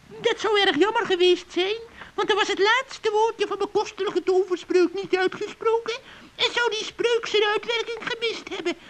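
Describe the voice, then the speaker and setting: A performer playing a gloating, spiteful old witch in a children's radio play, with a creaky, cackling voice and a mock-friendly, taunting manner. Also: very high voice, fairly even intonation, moderate pace, fairly bright tone, slight background noise, low-quality recording.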